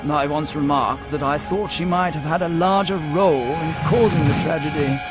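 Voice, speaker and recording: An actor playing a clinical, accusing man in a video game, speaking in a formal, measured way. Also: fairly high pitch, music in the background, close to an everyday voice. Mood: clinical, suspicious. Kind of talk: video game character acting.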